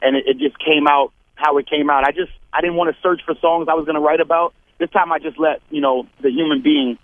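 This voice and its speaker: An easygoing man chats over a thin, tinny phone line. His voice sits a little high for a man. The sound is dry, with no room around it. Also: fairly even intonation, fast talker, dry recording. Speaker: guest rapper calling in. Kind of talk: casual phone-in interview.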